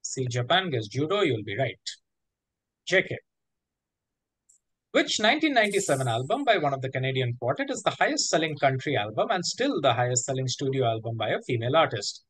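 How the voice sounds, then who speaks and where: A man works as quiz master, reading questions and judging answers. His pitch moves in a lively way, his voice is a little husky, and the sound is dry and close. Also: a little fast, no echo, rough recording.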